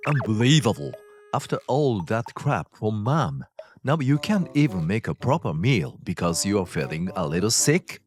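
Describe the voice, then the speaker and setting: An actor playing a selfish, demanding middle-aged husband in a dubbed comic story, dismissive and entitled, with music behind him. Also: male voice, on the low side, big pitch swings, moderate pace. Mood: annoyed, demanding.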